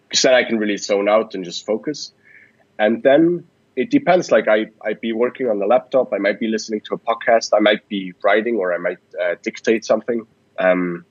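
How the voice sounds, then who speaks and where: A man from Switzerland, answering interview questions in a relaxed, thoughtful way with frequent restarts. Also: on the low side, dry recording, muffled recording. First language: Swiss German. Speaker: guest. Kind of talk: podcast interview.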